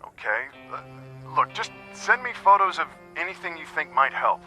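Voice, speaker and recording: An exasperated, practical man in an action game, forever urging caution. His voice is fairly high for a man and very sharp and forceful, with music behind it. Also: very animated, moderate pace, exaggerated voice, poor audio. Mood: baffled. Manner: admonishing, practical. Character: exasperated, cautious man reining in a reckless partner. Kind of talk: video game voice acting.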